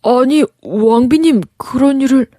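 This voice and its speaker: A soft-hearted royal manservant from a fairy tale, as a woman reader plays him. He speaks formally and earnestly, deferring to his betters, in a dry close recording. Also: high voice, a little slow, no room sound, pitched above the performer's own voice. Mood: dismayed.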